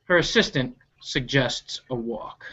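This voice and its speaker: A storyteller reading a picture book aloud to children in a deliberate, expressive way, stretching out key words. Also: mid-range voice, lo-fi sound, slight background noise. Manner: animated, deliberate, stretching key action words, with asides to listeners.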